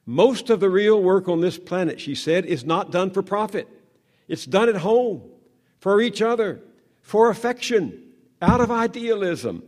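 An older journalist from the American South gives a measured speech, his voice sitting a touch high for a man. He takes slow, dramatic pauses, and his phrases sink to a near hush. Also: animated. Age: 70s.

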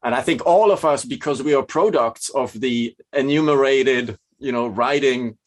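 A man with a Swiss accent moderating an academic panel's questions, halting with many restarts. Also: medium pitch.

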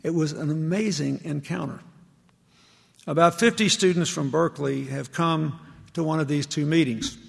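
A man's voice: fairly high pitch, normal speed, creaky, slightly rough. A man giving a public lecture to university students, telling anecdotes in an earnest, conversational way. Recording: echoey large hall.

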